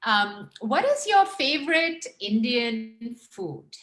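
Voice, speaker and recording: A woman's voice: fairly high pitch, big pitch swings, takes it slowly, a bit bright. A woman hosting a casual group chat, interviewing children in an encouraging way and often chiming in with short affirmations. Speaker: host.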